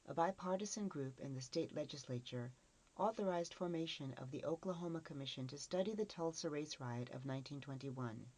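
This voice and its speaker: Low-voiced woman narrating. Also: a little mellow, low-quality recording. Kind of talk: scripted narration of an encyclopedia article.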